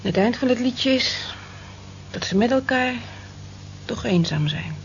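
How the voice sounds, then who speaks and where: A woman's voice: fairly high pitch, animated, a little slow. An actress in a radio drama playing a weary, discontented woman in her forties, reflective and plaintive. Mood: wistful. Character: weary, wistful working woman of forty-four, discontented. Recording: dull lo-fi old radio recording, hiss.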